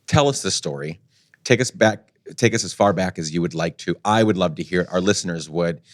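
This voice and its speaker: A man's voice: deep voice, fairly quick, no room sound. A man hosting a podcast, interviewing in an earnest, encouraging, conversational way. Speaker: podcast host.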